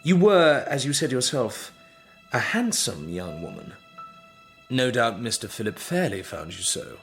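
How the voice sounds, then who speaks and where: An actor playing an earnest, determined young drawing master in an audio drama, speaking in a measured, formal, husky way. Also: male voice, medium pitch, big pitch swings, fairly bright tone, faint music behind. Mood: probing. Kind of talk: audio drama acting and narration.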